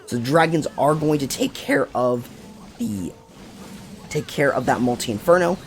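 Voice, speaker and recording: A man with a voice roughened to a rasp talks through a game strategy guide in a relaxed, chatty way. His pitch sits in an ordinary middle range, and he explains each step plainly, often correcting himself mid-sentence. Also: measured pace, soft background music. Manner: casual, explanatory, self-correcting. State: raspy voice. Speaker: gaming channel host.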